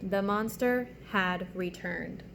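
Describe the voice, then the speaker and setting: A woman's voice: medium pitch, slow, gravelly. A woman elementary teacher reading aloud and explaining things simply to young children. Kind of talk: children's read-aloud and writing lesson. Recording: faint background noise.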